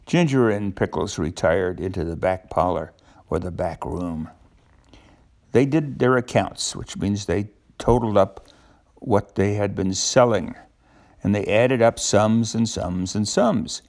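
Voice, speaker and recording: An older man reading a children's storybook aloud, pausing to explain things simply to a young listener. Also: on the low side, darkish tone.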